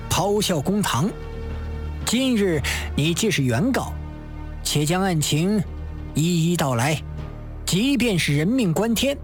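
A woman voicing a stern male magistrate of ancient China in a storytelling performance, commanding, formal and measured. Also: low voice, voice pushed down.

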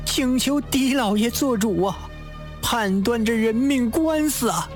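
A woman's voice: mid-range voice, sing-song, a little slow, soft background music. A woman voicing a distraught, hot-tempered father pleading in court, agitated, indignant and emphatic, in a storytelling performance. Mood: anguished pleading.

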